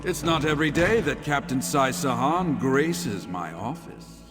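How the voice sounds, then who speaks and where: A man's voice: medium pitch, lively intonation, unhurried, music in the background, close to an everyday voice. A man playing a stern, haughty middle-aged general in a video game, with a sardonic, pompous delivery. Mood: scornful. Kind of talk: video game character dialogue. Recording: echoey hall reverb.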